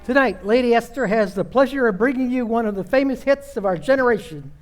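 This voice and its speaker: Man as genial radio play narrator, high voice, faint music behind. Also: animated, fast talker. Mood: storytelling. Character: genial storytelling announcer of a suspense radio play. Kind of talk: old time radio drama narration.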